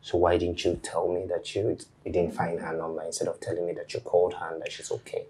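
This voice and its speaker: A man's voice: sits low, completely flat delivery, fast, darkish tone, lightly acted, low-quality recording. A man playing a married company boss who coldly scolds his employee. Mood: stern. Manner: cold, clipped, scolding.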